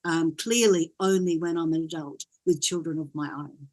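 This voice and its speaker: An older woman from Wales, a guest in an interview, telling her story in a gentle, reflective way. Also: low-pitched, steady tone, normal speed. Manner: gentle, reflective, laughs often.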